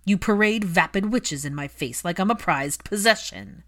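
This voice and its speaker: A woman voicing a bitter adult aristocratic son in an audiobook-style story, cold, angry and biting in a deliberate delivery. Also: medium pitch, animated, lightly acted. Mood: furious.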